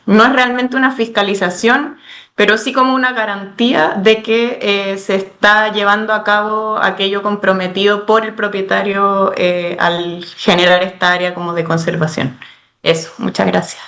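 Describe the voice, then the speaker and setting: A woman's voice: medium pitch, little pitch movement, moderate pace, a bit bright. Chilean woman, workshop participant, hesitant, searching for words. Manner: hesitant, many fillers, careful wording. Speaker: workshop participant asking a question. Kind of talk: question in an online workshop.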